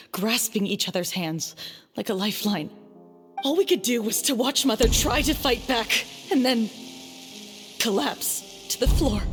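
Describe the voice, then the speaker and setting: A woman playing a grieving, vengeful young musketeer in a video game, speaking with deliberate intensity. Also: medium pitch, natural voice. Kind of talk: dramatic game voice acting.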